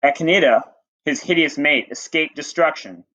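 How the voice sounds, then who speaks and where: A man's voice: on the high side, moderate pace, pushed and bright. A man reading aloud as a teacher, mixing storybook narration with plain, informal explanatory asides. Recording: dull lo-fi recording.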